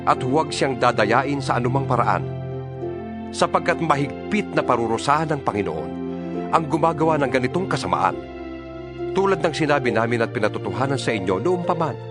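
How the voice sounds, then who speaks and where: A man's voice: mid-range voice, lightly acted. A man playing an earnest, fatherly apostle exhorting his flock in a dramatized Bible reading.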